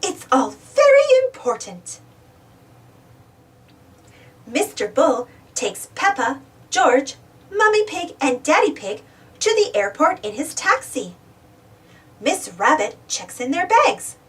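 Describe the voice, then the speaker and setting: A woman reading a children's storybook aloud in an animated, expressive way. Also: high-pitched, slow, distant mic. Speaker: storybook reader, channel host. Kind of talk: children's read-aloud narration.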